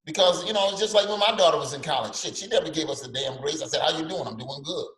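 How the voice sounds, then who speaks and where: A man's voice: a little high, quick pace. Sarcastic older man in echoey room. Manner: animated, sarcastic, stammering restarts. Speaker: podcast co-host. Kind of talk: casual opinionated chat.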